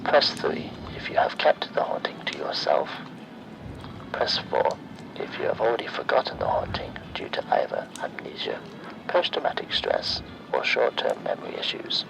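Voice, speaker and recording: A man playing a sinister automated phone survey voice in a horror ASMR roleplay, breathy and often near-whispered, speaking in measured, formulaic announcements. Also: lowish voice, huge pitch swings, exaggerated voice, low-quality recording. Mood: eerily polite.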